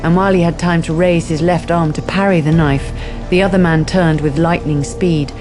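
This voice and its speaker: A wry female storyteller voiced by an actress for a radio crime drama. Her voice is low for a woman and her delivery is measured and scripted. Music plays clearly beneath her. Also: steady tone, natural voice. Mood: grave.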